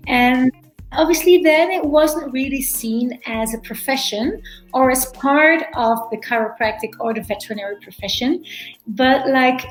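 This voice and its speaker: A woman's voice: high voice, normal speed. A middle-aged woman from Belgium, a guest in an interview who often repeats words and restarts. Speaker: guest, veterinarian and animal chiropractor. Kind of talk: casual interview talk. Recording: echoey room, faint music.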